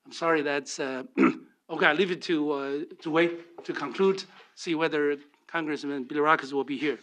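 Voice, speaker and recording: A man from Taiwan, giving halting remarks full of fillers and restarts as a speaker at a policy forum. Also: on the high side, fairly even intonation, fairly bright tone, a little hiss or hum. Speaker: panel speaker.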